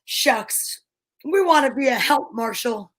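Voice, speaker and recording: A woman's voice: high voice, lively intonation, slow, a bit bright, exaggerated voice. A woman playing an earnest, helpful country boy, folksy and agreeable, in old-time radio drama style. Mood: eager to help.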